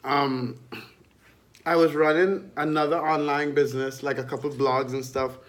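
A man's voice: medium pitch, level tone, normal speed, a bit bright. A man, talking candidly and informally as an interview guest. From Trinidad and Tobago. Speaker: interview guest, online entrepreneur. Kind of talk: casual conversational storytelling.

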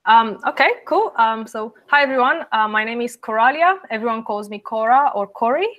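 A woman's voice: fairly high pitch, expressive, a little fast, muffled recording. A woman in her twenties from Romania, a guest on a travel podcast, answering in a friendly way with frequent "um"s. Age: 20s. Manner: friendly, many um fillers, laughs lightly. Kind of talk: podcast interview.

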